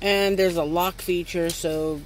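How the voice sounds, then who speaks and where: A woman's voice: fairly low pitch, steady tone, a little slow. A woman giving a casual unboxing review outdoors, talking into a handheld camera and piecing her explanations together as she goes. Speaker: toy review channel host, mother. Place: outdoors on a wooden step beside a house. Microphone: handheld phone or camera close by. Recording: noisy handheld outdoor recording.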